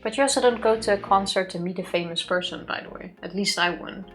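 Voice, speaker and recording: A woman, co-hosting a let's play, who speaks bluntly with dry, quick retorts. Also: medium pitch, fairly bright tone. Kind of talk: casual chat over gameplay. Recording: faint music behind.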